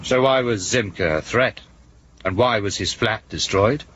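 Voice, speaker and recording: An actor playing a blunt, sceptical police inspector who probes and challenges in a radio drama. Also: male voice, on the low side, measured pace, fairly bright tone, lo-fi sound, slight background noise. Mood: suspicious, challenging. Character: dogged, suspicious police inspector confronting a secret service man.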